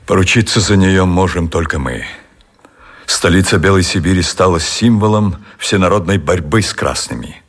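A man's voice: low-pitched, moderate pace, slightly rough. A man playing a fervent, defiant general in a radio drama, speaking huskily like an orator rallying officers. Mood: fervent, rousing. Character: fervent, defiant general urging a last stand.

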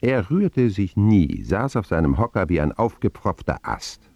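A candid, wry narrator looking back on boyhood in a radio play. His low, mellow voice creaks and moves expressively, with deliberate pauses. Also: big pitch swings, no room sound, muffled recording, exaggerated voice. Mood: reflective. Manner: dramatic phrasing with deliberate pauses. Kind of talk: scripted first-person radio drama narration.

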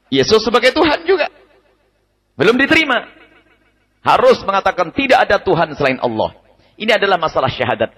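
A man giving a lively, emphatic religious study lecture, repeating his key points and chuckling now and then. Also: fairly high pitch, husky. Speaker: preacher. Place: study gathering hall. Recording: radio broadcast with long hall reverb.

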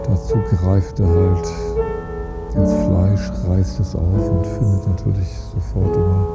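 Deep, slow, gravelly man reminiscing. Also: level tone, loud music behind the voice. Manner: halting, with fillers and pauses. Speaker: interviewee recalling childhood. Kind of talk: casual spoken recollection.

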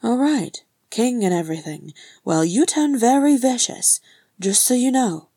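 A woman voicing a lively, teasing younger dwarf brother, chattering and exclamatory, in an audiobook-style story. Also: medium pitch, very slow, no room sound, studio quality. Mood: cheeky.

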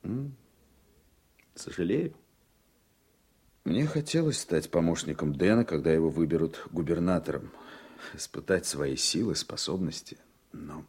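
An actor playing an earnest, emotional political aide in a radio play, with a slightly husky voice. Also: male voice, sits low, expressive, a little slow, pauses often, darkish tone, heavily acted. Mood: regretful.

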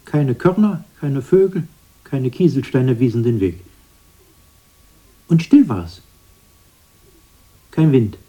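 A haunted brother in a radio play, bitter about his childhood. The voice is husky and muted, and it swings widely between brooding murmurs and sharp intensity. Phrases come out broken. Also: mid-range voice, lively intonation, slow, pauses often. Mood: brooding, remembering. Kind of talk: radio drama acting.

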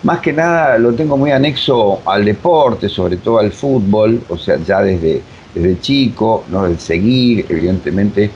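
A man's voice: unhurried, few pauses, a little mellow. A man in his seventies from Uruguay with a Rioplatense accent, reminiscing in a rambling, digressive interview style. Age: 70s. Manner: rambling, digressive, many filler phrases. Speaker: interview guest, voice technique teacher. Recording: dull, rumbly, faintly noisy recording.

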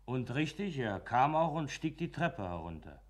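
A man's voice: medium pitch, sing-song, moderate pace. An actor playing a simple farmhand and coachman, speaking deferentially and colloquially in a German radio play. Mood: steady recounting. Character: plain, obedient farmhand and coachman telling his account.

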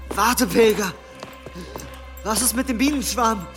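A man's voice: high-pitched, measured pace, a bit bright. A man playing a desperate, guilt-ridden young penitent monk in a German audio drama. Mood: desperate, pleading. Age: young adult. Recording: echoing hall reverb, music behind.

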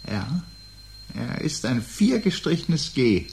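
An actor playing a resigned, brooding man awaiting the end of the world, speaking gravely and deliberately in a radio drama. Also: mid-range voice, big pitch swings, full character voice. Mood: grim, resigned. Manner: grave, deliberate, literate and reflective. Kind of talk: 1970s German radio drama acting. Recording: 1970s radio recording with loud hum.

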